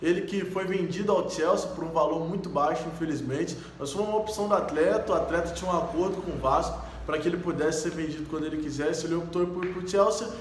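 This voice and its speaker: A man's voice: a little high, fast, hardly any pauses, slight background noise. A man with a Brazilian accent hosting a fan channel, giving a casual sports news roundup as an eager fan who calls the club "ours.". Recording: roomy echo.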